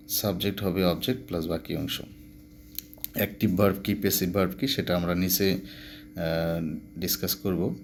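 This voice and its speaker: A man's voice: sits low, a little hiss or hum. A man, a Bengali speaker, giving a grammar lesson as a teacher, explaining step by step with repetition. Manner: didactic, repeats explanations step by step.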